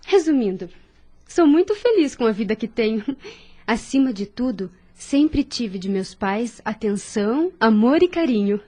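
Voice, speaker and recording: A woman playing a kind, devout young nurse in an audio drama, with a Brazilian accent and a gentle, earnest manner. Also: fairly high pitch, very animated, unhurried. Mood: warm, grateful.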